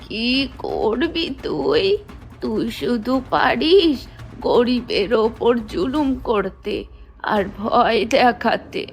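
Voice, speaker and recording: A woman's voice: a little high, lively intonation, drawn-out words, vocal fry, soft background music, exaggerated voice. A woman voicing a defiant, scolding old village woman in a Bengali cartoon. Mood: indignant, scolding. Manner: scolding, indignant.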